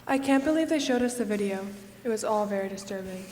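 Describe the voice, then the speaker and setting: A woman's voice: mid-range voice, expressive, fast talker, a little mellow, slight background noise, lightly acted. A woman playing a prim retired schoolteacher serving on a jury in a stage play. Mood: disapproving. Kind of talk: live stage play acting. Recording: echoey hall, distant stage sound.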